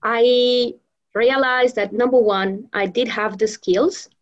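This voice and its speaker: Female guest from Venezuela, Spanish first language, slightly high pitch, halting delivery, dull lo-fi recording. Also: fairly even intonation. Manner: hesitant, many fillers and restarts. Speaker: guest, professional trainer and mentor. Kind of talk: interview conversation.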